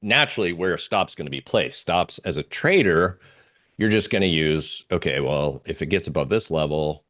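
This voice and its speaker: Low-voiced man talking through charts. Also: lively intonation, fairly bright tone. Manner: halting, searching for words. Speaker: market analyst guest. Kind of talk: informal live chart commentary.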